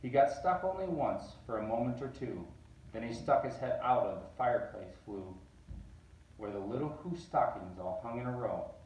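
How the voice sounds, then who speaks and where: A man reading a picture book aloud, animated and rising on repeated words. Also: medium pitch, normal speed. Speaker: reader. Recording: roomy echo, distant mic.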